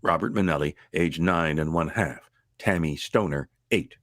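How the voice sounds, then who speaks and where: A man playing a grave, matter-of-fact storyteller, narrating a vintage radio suspense tale in a formal, measured way. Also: a bass voice, a bit bright, dry recording, studio quality. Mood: grave, factual. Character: grave, matter-of-fact male storyteller reading an accident report.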